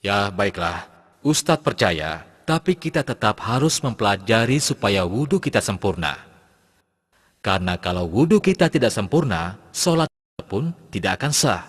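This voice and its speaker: A man playing a patient Islamic studies teacher who addresses pupils in a measured, instructive way in a scripted cartoon lesson, recorded with long reverb. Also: on the low side, big pitch swings, slightly rough. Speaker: religious teacher.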